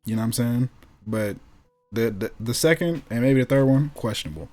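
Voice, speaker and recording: A man's voice: medium pitch, a little fast, warm tone. A man co-hosting a casual chat, telling things in loose slang and repeating phrases for emphasis. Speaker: podcast co-host. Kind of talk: casual chat about video game movies.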